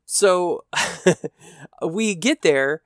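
A man's voice: sits high, lively intonation, extremely slow, dry recording, clean studio sound. A man hosting a casual solo podcast, chatting in a rambling, self-deprecating way while sounding a bit stuffy from allergies. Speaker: podcast host.

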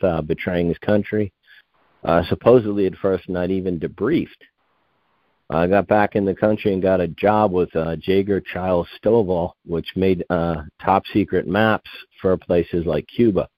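Interview guest, male, 50s; deep voice, muffled dry recording; digressive, restarts his sentences often. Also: no room sound. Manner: meandering, self-correcting, digressive. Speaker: guest researcher. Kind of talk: rambling conversational interview.

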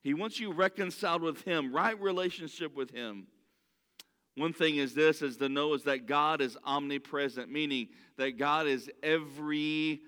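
A man preaches with earnest conviction, often stumbling and restarting his sentences, and English is not his first language. His voice sits high and rings smooth and resonant. A long reverb hangs behind him, as in a church hall. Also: normal speed, sharp tone. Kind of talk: sermon.

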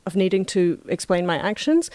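A woman's voice: fairly low pitch, very animated, moderate pace, dark tone, no echo. A woman talking as a podcast guest in casual conversation, reflective and explaining her views at length. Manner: reflective, talks at length in long flowing explanations. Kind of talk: casual chat.

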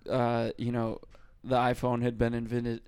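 A man's voice: medium pitch, monotone, measured pace, darkish tone, clear, resonant tone. A man hosting a comedy podcast, rambling in casual banter full of filler words and swearing.

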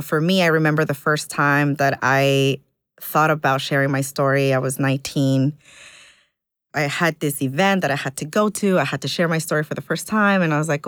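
Woman organizer from Ecuador, thoughtful and halting, low pitch, dry close sound. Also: no room sound. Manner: earnest, thoughtful, halting with fillers. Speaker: immigrant community organizer. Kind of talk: reflective interview conversation.

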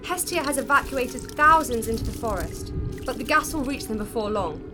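A dutiful young princess of an alien race voiced by a woman, high in pitch and swinging widely with emotion. She speaks urgently and with command in a sci-fi audio play, music playing behind her. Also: lively intonation, moderate pace, lightly acted. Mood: urgent, exasperated. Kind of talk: sci-fi audio drama acting.

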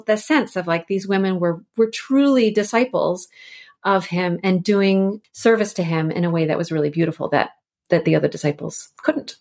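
A woman speaking as a podcast guest, explaining literature in a thoughtful, enthusiastic, conversational way. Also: mid-range voice. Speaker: interview guest, author and editor.

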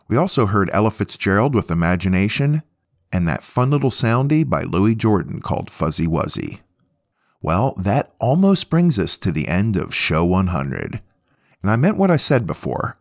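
A man with a low-pitched voice hosts a music show in a casual way. His intonation moves up and down in a lively fashion. The sound is close and dry, with little room on it. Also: no room sound. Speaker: podcast host.

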